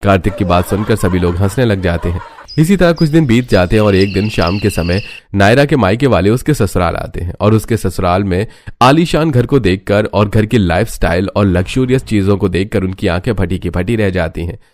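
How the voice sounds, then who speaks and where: A man's voice: fairly low pitch, lively intonation, a little fast, darkish tone, no room sound, studio quality. A man reading a scripted family story as narrator. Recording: music bed under the voice.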